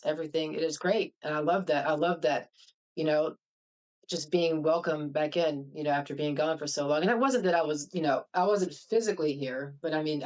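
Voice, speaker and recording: A podcast guest reflecting casually in conversation, with loose, repetitive phrasing. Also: medium pitch. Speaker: podcast guest, returning hall member.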